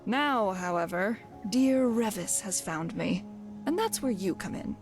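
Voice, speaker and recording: A woman's voice: mid-range voice, animated, measured pace, lightly acted. Actress as female rogue companion for fantasy game: brash, wry, cocky, theatrical delivery, music underneath. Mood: wry, matter-of-fact. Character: brash, wry female thief, undying adventurer. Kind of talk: voiced game dialogue.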